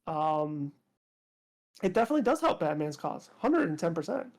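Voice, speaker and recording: A man's voice: a little high, sing-song, normal speed, creaky. A man co-hosting a casual podcast chat, hesitant and rambling, with lots of fillers. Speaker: podcast co-host.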